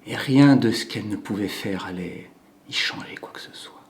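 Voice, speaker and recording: A man performing a theatrical monologue as a cold, controlling psychopathic killer who savors his confession in a hushed, deliberate way. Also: lowish voice, natural voice. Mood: savoring, sinister. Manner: slow, hushed, deliberate delivery with drawn-out pauses. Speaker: acting student performing a monologue.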